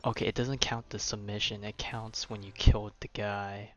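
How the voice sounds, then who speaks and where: A young male game streamer with a fairly low voice talks casually as he plays. He is informal and mutters to himself, with faint music underneath. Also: little pitch movement, normal speed. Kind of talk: casual live gameplay commentary.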